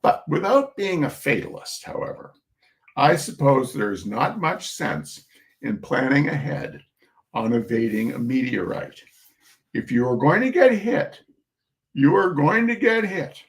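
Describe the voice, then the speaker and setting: Slow, animated man with a creaky voice. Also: mid-range voice. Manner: theatrical, expressive storytelling with wry asides. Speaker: reader of humorous essays. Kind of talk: live read-aloud of comic essays with chatty asides.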